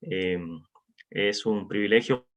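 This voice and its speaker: A man in his forties speaking informally to a congregation as a visiting preacher, halting with frequent pauses. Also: fairly low pitch, steady tone. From Cuba. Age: 40s. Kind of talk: informal testimony and greeting to a congregation. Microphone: remote online meeting microphone. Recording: dull, lo-fi online meeting audio.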